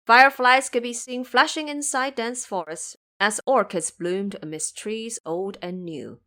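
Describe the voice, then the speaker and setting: A woman's voice: on the high side, a little slow, sharp tone. A woman reading scripted narration for an audio drama adventure story.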